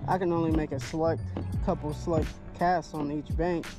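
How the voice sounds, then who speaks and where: A man's voice: fairly high pitch, flat delivery, normal speed. A young man vlogging while kayak fishing on a windy lake, talking casually and rambling with fillers. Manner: laid-back, rambling, many fillers. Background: background music, wind and water.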